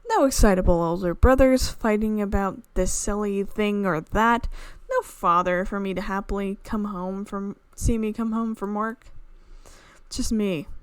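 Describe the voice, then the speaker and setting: A woman's voice: mid-range voice, a little slow, clear, resonant tone, dry recording. Woman reading a story, close dry sound. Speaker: video game streamer. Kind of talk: reading a visual novel aloud with asides. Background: game music.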